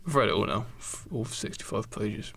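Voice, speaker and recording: A man's voice: lowish voice, moderate pace, gravelly, a bit bright, slightly rough, pitched below the performer's own voice, exaggerated voice. A man playing a laid-back, rambling construction worker in an amateur comedy radio play, with a casual, hesitant delivery. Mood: sheepish, unbothered. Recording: noisy amateur podcast recording.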